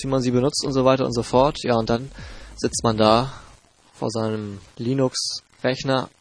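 A German man with a stuffy head cold hosts a podcast in a loose, joking way, filling gaps with little asides. His voice sounds husky and a bit raspy. Soft music plays under his talk. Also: medium pitch. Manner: chatty, jokey, loose fillers. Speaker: podcast host. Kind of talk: casual podcast presenting. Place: home in Hamburg.

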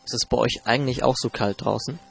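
A man's voice: mid-range voice, fast talker, creaky, slightly rough, no room sound, faint music behind. A man with a stuffy cold hosting a podcast in a chatty, jokey way with loose fillers. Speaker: podcast host. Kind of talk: casual podcast presenting. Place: home in Hamburg.

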